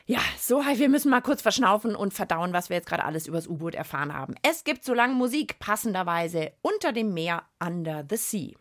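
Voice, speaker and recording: A woman's voice: medium pitch, sing-song, fairly quick, few pauses, dry recording, studio quality. A woman co-hosting a children's science podcast in a casual, curious style.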